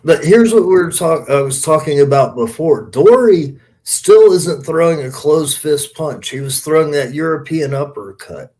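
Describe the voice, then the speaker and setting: A man in casual podcast chat, explaining things in a halting way, repeating and rephrasing as he comments on a match. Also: on the high side, expressive, mellow, rough recording. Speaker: podcast co-host, former wrestler.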